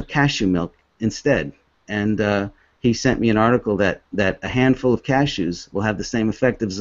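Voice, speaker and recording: A man in his fifties who grew up in Hong Kong, giving an earnest, halting presentation as an author with many restarts. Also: lowish voice, darkish tone, dry recording. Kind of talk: presentation with slides. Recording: dull, dry lo-fi recording.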